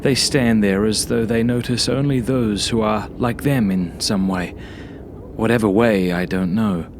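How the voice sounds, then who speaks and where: Low, gravelly man reading a horror story. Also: a little slow, noisy background. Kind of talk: first-person horror audiobook narration, journal-like.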